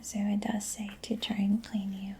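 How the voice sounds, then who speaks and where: Woman, soft whisper, very even pitch, soothing, unhurried, ASMR mechanic roleplay, close mic, some ambient noise. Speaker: ASMR roleplayer playing a robot repair mechanic. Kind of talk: soft-spoken ASMR personal attention roleplay. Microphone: close microphone.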